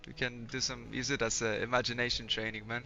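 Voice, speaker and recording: A man's voice: medium pitch, flat delivery, brisk, vocal fry, sharp tone, faint music behind. A young man from Poland streaming a game, chatting casually with viewers, laid-back and swearing often. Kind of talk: casual live-stream chat while gaming. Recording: slightly dull lo-fi stream audio.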